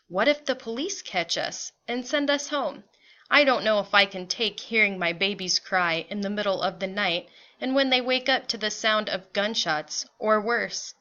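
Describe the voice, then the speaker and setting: A woman's voice: medium pitch, sharp tone, dull audio. A woman voicing an anxious, weary migrant mother who sounds worried and plaintive as she fears for her children.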